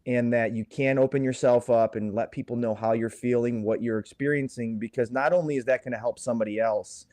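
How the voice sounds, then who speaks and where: Guy being interviewed on a podcast, candid talk, average pitch, really dry sound. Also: steady tone, brisk, a little mellow, dry recording. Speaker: podcast guest, co-founder of a support movement.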